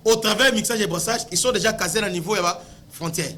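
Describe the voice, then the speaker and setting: A man's voice: high voice, fast talker, fairly bright tone. A man with a Congolese accent giving impassioned political commentary, emphatic and full of rhetorical questions, often repeating words as he searches for the next. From Democratic Republic of the Congo.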